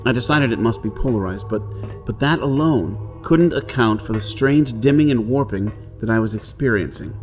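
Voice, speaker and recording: A man's voice: medium pitch, moderate pace, dull audio, close to an everyday voice. Actor as brusque, brooding outlaw man, music behind. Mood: brooding, reflective. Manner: dramatic, brooding, brusque in dialogue. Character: brusque, wary hunted outlaw man on Mars. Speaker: narrator and lead actor. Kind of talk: pulp radio drama acting and first-person narration.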